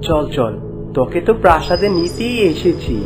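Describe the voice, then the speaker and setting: A woman's voice: low-pitched, very animated, normal speed. A woman playing a sinister false prince, curt and contemptuous, in a fairy-tale cartoon. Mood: scornful, impatient. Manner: curt, scornful. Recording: voice under very loud music.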